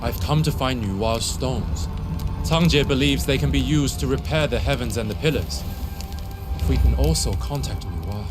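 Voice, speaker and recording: An actor playing a grave, humble, self-sacrificing hero in a video game cutscene, speaking earnestly. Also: male voice, medium pitch, moderate pace, lightly acted. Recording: music under the voice.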